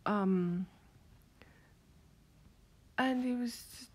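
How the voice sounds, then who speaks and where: A woman with a South African accent rambling softly through a strange dream, often half-whispering and hesitating with many fillers. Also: a little high, very slow. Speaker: vlogger.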